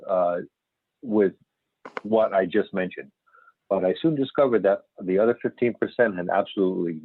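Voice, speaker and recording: A man's voice: deep voice, no echo. A man playing an older, folksy and candid astronomer turned UFO investigator, telling his story in an amateur readers theater over a call. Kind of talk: amateur readers theater, scripted interview reminiscence. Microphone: phone or videoconference call. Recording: band-limited phone-like conference call audio.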